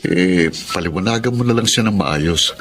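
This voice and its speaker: A man's voice: low-pitched, moderate pace, noisy background, natural voice. An actor with a Filipino accent playing an evasive, apologetic businessman husband in an audio drama. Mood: gentle, apologetic. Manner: placating, apologetic. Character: evasive businessman husband secretly splitting time between two families. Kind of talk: Filipino radio-style drama acting. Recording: music behind the voice.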